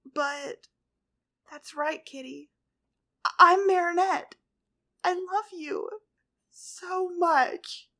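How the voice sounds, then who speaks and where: A woman voicing a young heroine, fierce yet tender, whose voice rises high and loud with emotion. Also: slow, husky, exaggerated voice. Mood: tearful.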